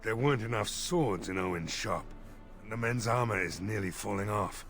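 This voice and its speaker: An actor playing a weary, worried village mayor in a fantasy game, with game music behind him. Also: male voice, on the low side, normal speed, fairly bright tone, close to an everyday voice. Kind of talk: video game voice acting.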